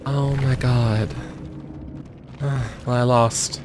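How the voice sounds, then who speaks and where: A man commentating over gameplay in a mostly monotone voice, muttering urgings at the screen. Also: mid-range voice, very slow, music in the background. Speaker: gaming video creator.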